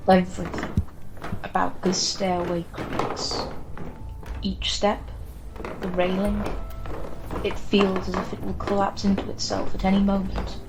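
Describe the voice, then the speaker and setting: A woman reading a scripted horror story in the second person, with a measured, grave delivery. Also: mid-range voice, completely flat delivery, noisy background. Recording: music behind the voice. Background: background music.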